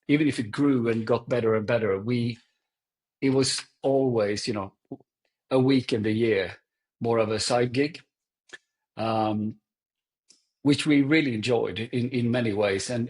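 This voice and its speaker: Halting Swedish-accented man. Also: medium pitch. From Sweden. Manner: halting, thoughtful storytelling. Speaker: guest, event company CEO. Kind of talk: podcast interview.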